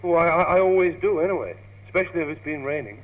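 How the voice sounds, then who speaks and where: An actor with a British accent playing a naive, lovestruck young gentleman in a radio comedy, stammering earnestly. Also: on the high side, expressive, very fast, lightly acted. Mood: flustered earnest. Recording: tinny, hissy, humming old radio tape, reverberant.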